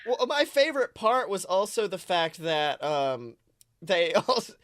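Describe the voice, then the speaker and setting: High, bright-voiced man chatting excitedly. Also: measured pace, no room sound, clean studio sound. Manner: animated, rambling, frequent swearing. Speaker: podcast co-host. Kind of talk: casual excited chat about films.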